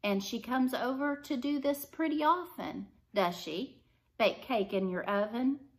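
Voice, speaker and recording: A woman reading aloud the part of a chatty, gently probing woman in a storybook. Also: on the high side, a little slow, pitched above the performer's own voice. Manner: chatty, leading questions. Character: Alice, chatty adult woman, delighted then gently probing. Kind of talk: storybook character dialogue read aloud.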